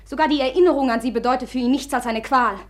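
A woman acts out a young noblewoman in a classic radio play, her voice high and proud. She speaks formally and commandingly, with agitated accusation under the polish. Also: quick pace, natural voice. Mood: accusing, bitter. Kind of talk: classic German radio drama acting.

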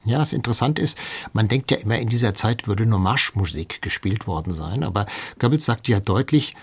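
A man's voice: lowish voice, animated, fairly quick, husky. A man speaking as an expert guest, explaining history in an interview.

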